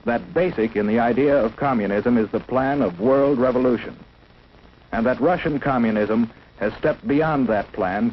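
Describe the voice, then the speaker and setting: An American man narrates in the scripted style of a 1950s instructional film. His medium-pitched voice is formal and solemn, stating each line declaratively. The sound is a dull old film track with faint background noise.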